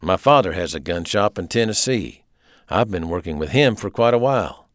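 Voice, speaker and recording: A man reads as a young American prisoner in an audiobook. He speaks low and subdued, guarded but respectful, in a close, dry recording. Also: animated, moderate pace, no echo. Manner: muttering, soft, subdued.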